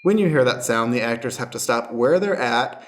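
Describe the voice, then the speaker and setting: A man's voice: mid-range voice, lively intonation, normal speed. Man hosting an improv audio show. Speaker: host and stage manager of an improv podcast. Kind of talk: friendly scripted-style show introduction and explanation.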